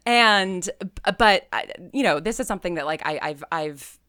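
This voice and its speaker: A woman's voice: medium pitch, very animated, a little slow, bright, forceful tone, no echo, clean studio sound. An American woman talking casually as an interview guest, telling stories and acting out her own thoughts. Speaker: podcast guest, audio drama writer and creator.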